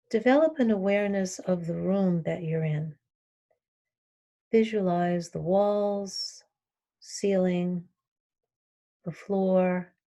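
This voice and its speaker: Slow-speaking woman guiding relaxation. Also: on the low side. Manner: calm, instructional, with long pauses between short phrases. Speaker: yoga nidra teacher. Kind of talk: guided relaxation meditation.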